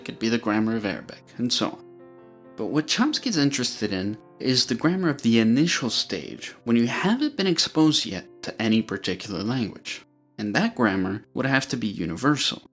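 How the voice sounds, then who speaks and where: A man narrating an explanatory video, speaking in a clear, measured way and walking through ideas step by step. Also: on the low side, fairly bright tone.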